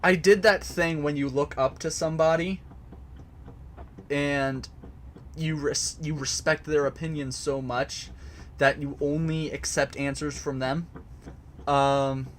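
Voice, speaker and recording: A man with a fairly high voice talks slowly and haltingly, stopping and restarting often as he answers his chat. He is recording at home in a basement, with a faint hum of background noise behind him. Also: fairly even intonation. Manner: hesitant, many restarts and fillers. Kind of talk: casual livestream explanation.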